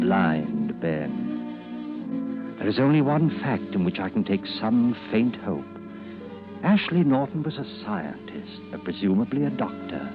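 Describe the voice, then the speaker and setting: A brooding detective inspector in an old radio mystery. His low voice is formal and measured, heard through a thin, phone-like broadcast with music behind. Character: grave, self-doubting male detective inspector narrating his investigation. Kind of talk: 1940s radio drama acting.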